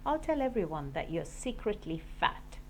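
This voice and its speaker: A woman's voice: fairly low pitch, very animated, moderate pace, slight background noise. A woman voicing an earnest, bickering young child in a storybook, with emphatic delivery. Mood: threatening. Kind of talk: storybook character voice.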